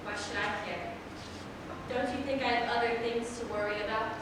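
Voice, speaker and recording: A woman's voice: a little high, moderate pace, natural voice. Woman playing a curt young princess in an echoing hall. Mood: bitter exasperation. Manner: curt, haughty, dismissive. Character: curt, overburdened young princess, bitter and imperious. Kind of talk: staged play reading. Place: large reverberant room at a live staged reading. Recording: distant echoey hall with background noise.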